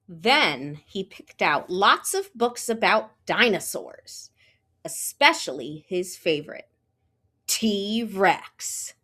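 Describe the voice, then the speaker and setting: A woman reading a children's picture book aloud, with expressive, drawn-out storytelling and sound effects. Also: mid-range voice. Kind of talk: animated children's read-aloud narration.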